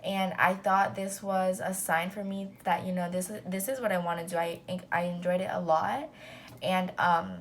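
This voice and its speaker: A woman's voice: lowish voice, fairly even intonation, moderate pace, slight background noise. A young woman talking casually as a business owner to a new intern, upbeat and full of fillers. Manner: chatty, upbeat, full of ums and "you know".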